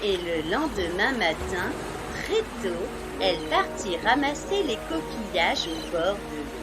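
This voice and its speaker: A woman narrating a scripted story for young children, expressive and carefully phrased. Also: mid-range voice, unhurried. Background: music.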